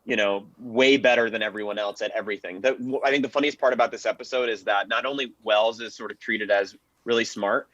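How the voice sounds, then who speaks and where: A man talking informally as a panelist on history, explaining in a measured, analytical way. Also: fairly low pitch, fairly even intonation, fairly bright tone, dry recording, highs cut off. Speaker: panelist, radio history commentator.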